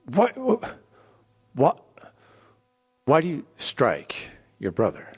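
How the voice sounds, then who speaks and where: A man voicing an earnest, hesitant Hebrew man in a dramatic scripture reading, halting and questioning. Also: medium pitch, huge pitch swings, a little mellow, slightly rough, big-room sound, full character voice. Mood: indignant.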